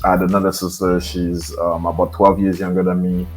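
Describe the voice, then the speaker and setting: A deep-voiced Haitian man in his thirties speaks as a podcast guest. He talks softly and haltingly, with plenty of fillers, and faint background music sits under his voice. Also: completely flat delivery. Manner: soft-spoken, hesitant with many fillers. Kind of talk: interview, personal storytelling.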